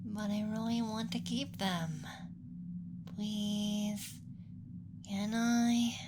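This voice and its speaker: A woman playing a cute, doting, clingy young alien abductor in soft, coaxing ASMR roleplay, close to the microphone. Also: on the low side, takes it slowly, very noisy. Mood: pleading, affectionate. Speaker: ASMR performer.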